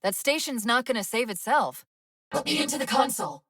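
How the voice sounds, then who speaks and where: A woman's voice: on the high side, lively intonation, brisk, very noisy. A female artificial intelligence from a video game, witty and fiercely loyal to her partner. Her delivery is wry, dramatic and urgent. The voice carries a long reverberant tail.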